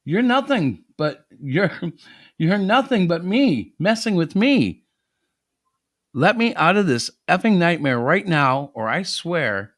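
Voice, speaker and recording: Man playing sweary hotshot writer, easily outraged, very animated pitch, dry close sound, audio drama. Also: slow pace, dry recording, exaggerated voice. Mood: indignant, bewildered. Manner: ranting, sweary, indignant. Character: defensive, foul-mouthed hotshot male screenwriter, easily outraged. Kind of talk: dramatic reading of a story.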